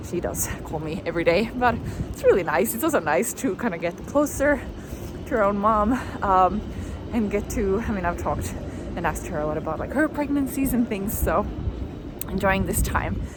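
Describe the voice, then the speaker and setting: A young woman whose first language is Swedish, chatting casually in a relaxed, rambling way as she vlogs outdoors in the rain. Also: medium pitch, moderate pace, noisy background. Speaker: vlogger. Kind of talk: casual vlog chat. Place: outdoors in rainy city streets.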